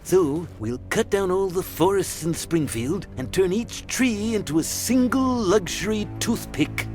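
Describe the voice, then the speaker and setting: An actor playing a greedy, callous old tycoon villain with a haughty, gloating manner. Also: male voice, high voice, animated, a little slow, a little mellow. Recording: music behind the voice.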